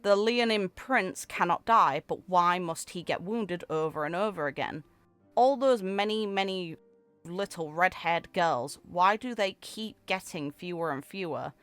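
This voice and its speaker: Lowish voiced lady doing a story read for a game vid, dry sound, music under it. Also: unhurried, fairly bright tone, clean tone, no echo. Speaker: gaming video creator reading game character lore aloud. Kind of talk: reading story text aloud with casual commentary. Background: background music.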